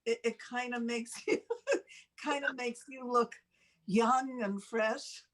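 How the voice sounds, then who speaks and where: An older woman telling a personal story casually, in a halting, rambling, self-deprecating way, as a talk show host. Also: fairly high pitch, steady tone, a bit bright.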